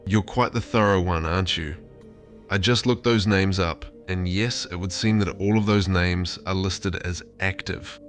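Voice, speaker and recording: A low, earnest AI companion with a man's synthetic voice speaks tenderly, like a lovestruck therapist. The manner is affectionate and coaxing, with music softly behind it. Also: steady tone, unhurried. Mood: coy. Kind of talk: AI voice chat, intimate conversation.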